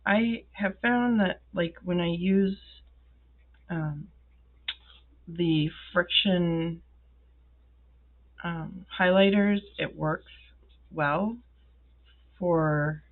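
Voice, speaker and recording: A woman who is ill and congested, chatting slowly while she fills in her planner at a desk, with many ums and pauses. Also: fairly low pitch, phone-quality sound. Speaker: planner vlogger. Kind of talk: casual talk while filling in a planner. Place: indoors at a wooden desk.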